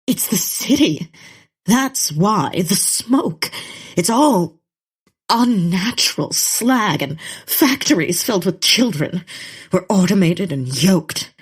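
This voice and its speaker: Slow, husky, melodramatic lady naturalist. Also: medium pitch, animated. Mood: righteous passion. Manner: solemn, impassioned, dramatic. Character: Marianne, melodramatic, righteous lady naturalist poet. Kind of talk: comedic period audio drama acting.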